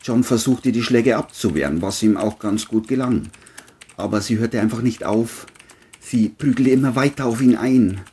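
A woman reading scripted narration for a crime audiobook in a husky voice. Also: rumbling low, dark tone.

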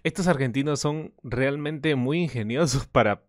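A man's voice: mid-range voice, moderate pace, dry recording, studio quality. A young man hosting a gaming reaction video, casual and laughing often, recorded indoors.

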